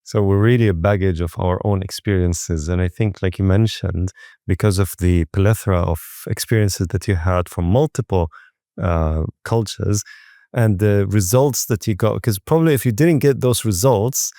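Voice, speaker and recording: Mellow-voiced male podcast host. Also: deep voice, moderate pace, dry recording, studio quality. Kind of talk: conversational interviewing.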